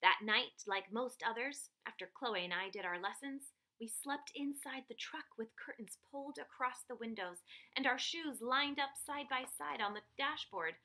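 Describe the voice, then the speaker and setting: A woman reading a picture book aloud to children like a teacher, with expressive, dramatic emphasis on key phrases. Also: a little high, moderate pace, hardly any pauses.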